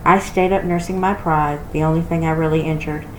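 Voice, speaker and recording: Woman, lower-pitched voice, children's read-aloud, expressive storytelling, echoey room, background noise. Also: fairly quick. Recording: roomy echo with clear background noise.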